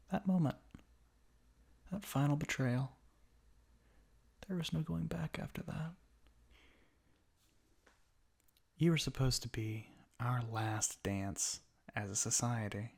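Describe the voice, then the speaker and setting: A man's voice: mid-range voice, gravelly. A man playing a bitter, mocking villain in an ASMR roleplay monologue, speaking theatrically with sardonic asides and often dropping toward a whisper. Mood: bitter, accusing. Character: bitter, mocking male villain revolutionary monologuing at a fallen hero. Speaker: voice actor in an audio roleplay.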